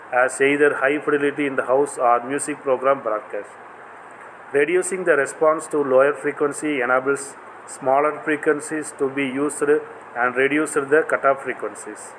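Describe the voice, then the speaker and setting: A man's voice: mid-range voice, fairly bright tone, slight background noise, low-quality recording. A man with a South Indian accent giving a scripted technical lecture in a formal, read-aloud way. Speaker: assistant professor giving a video lecture.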